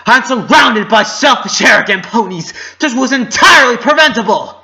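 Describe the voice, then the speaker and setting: A man voicing a distraught, high-strung young female pony in a dramatic story reading, with strained emotional delivery. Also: lively intonation, moderate pace, pushed and bright, full character voice. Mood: furious.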